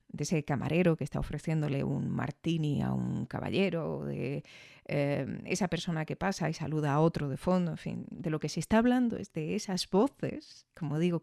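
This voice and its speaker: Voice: medium pitch, gravelly, mellow, dry recording, studio quality. A podcast host giving thoughtful, explanatory commentary on an audio drama. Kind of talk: reflective commentary.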